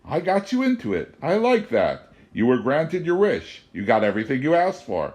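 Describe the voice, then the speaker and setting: A man voicing a mysterious little stranger who speaks slowly and wryly with measured calm. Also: on the high side, pitched above the performer's own voice. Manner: slow, measured, wry.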